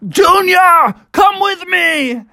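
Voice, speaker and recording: A storyteller voicing an excitable, bossy big-brother boy bear who speaks urgently in a children's story. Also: extremely high pitch, drawn-out words, shouting, pitched above the performer's own voice, full character voice.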